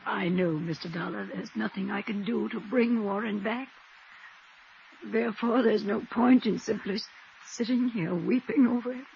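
An actress playing a genteel older society widow, halting and emotional, in a 1950s radio drama. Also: female voice, medium pitch, vocal fry, natural voice. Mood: sorrowful. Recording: muffled, noisy vintage radio recording.

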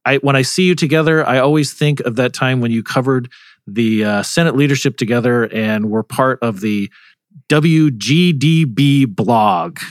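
A man's voice: mid-range voice, moderate pace, no room sound, clean studio sound. A man hosting a conversational political news discussion, asking questions in a rambling, self-interrupting way. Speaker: host.

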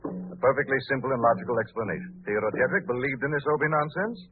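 An actor playing a suave, rational sleuth, assured and persuasive, in an old-time radio drama. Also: male voice, mid-range voice, quick pace, slight background noise, lightly acted. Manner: assured, urgent, explanatory. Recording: tinny old radio recording with music.

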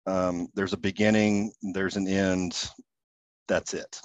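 A relaxed man in his thirties co-hosts a casual podcast. His voice sits a bit low, and he talks with easy asides and frequent "ums". The recording is dry, with no room echo. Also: takes it slowly, dull audio. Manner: easygoing, relaxed, with many ums and rambling asides. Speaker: podcast co-host. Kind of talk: casual chat.

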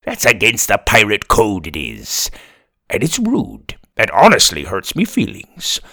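A gruff, touchy pirate storybook, put on by a man reading aloud. It barks pirate slang and growls threats, louder than the narration, with a lively, swinging pitch. The sound is close and dry. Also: unhurried, no echo, exaggerated voice. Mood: gruff, bossy.

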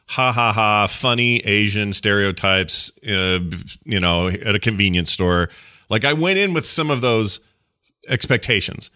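A man's voice: on the low side, bright, forceful tone. A man from the United States hosting an interview, speaking earnestly and at length in a casual, rambling way. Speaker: interviewer host.

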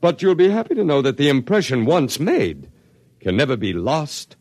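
An actor in a classic radio drama playing a smooth, zealous scientist who speaks in a measured, polite, condescending way. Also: male voice, on the high side, big pitch swings, darkish tone, no room sound. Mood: smooth, explanatory. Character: smooth, courteous, zealous male scientist running an eternal archive.

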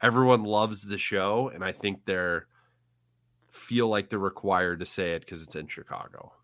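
A man's voice: low voice, normal speed, no room sound. A man in his thirties from Central Illinois with a matching accent, co-hosting a casual podcast and ranting bluntly with some swearing. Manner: blunt, swears, animated rants. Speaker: podcast co-host. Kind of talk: casual chat.

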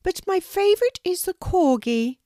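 A woman voicing a gracious, kindly elderly queen with a gentle, genteel manner in a storybook reading. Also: very high voice, expressive, moderate pace, no echo, studio quality, pitched above the performer's own voice.

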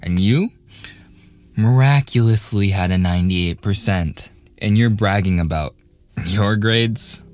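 A cocky young male bully, acted by a man in a boyfriend audio roleplay, with a lowish voice and an unhurried delivery. He is sarcastic and sneering, yet easily flustered. Music plays behind him. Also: a little mellow, dry recording, close to an everyday voice. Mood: smug, mocking. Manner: sarcastic, taunting, hesitant stammering under pressure. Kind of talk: boyfriend ASMR audio roleplay, close and intimate acting.

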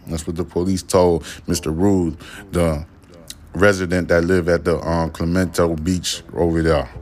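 A man's voice: deep voice, darkish tone. A man hosting his own podcast, giving a blunt, profane, ranting opinion monologue. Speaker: podcast host.

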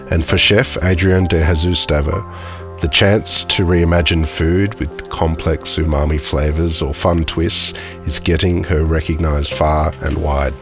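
Deep-voiced male podcast host. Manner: hesitant, many fillers while asking. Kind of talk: interview questions, scripted intro.

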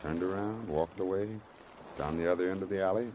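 Deep voiced tired ex boxer guy played by an actor, earnest, short plain sentences, old noisy radio show. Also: a little mellow, natural voice. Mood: defensive. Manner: plain-spoken, halting, short clipped sentences. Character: weary, earnest former boxer turned model maker. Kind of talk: old-time radio drama acting. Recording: noisy vintage radio broadcast recording.

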